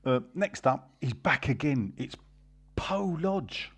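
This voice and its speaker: A man's voice: mid-range voice, expressive, slow pace, slightly rough. A man hosting casual football banter, teasing and blunt as he reads out listener messages. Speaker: host of a football fan podcast.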